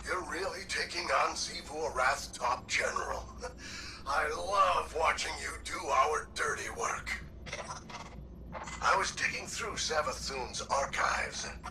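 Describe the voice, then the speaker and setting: An actor playing a snide, cynical little machine sidekick in a video game, brash, sarcastic and mocking. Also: male voice, on the high side. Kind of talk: video game character dialogue. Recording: distant, with loud game noise behind.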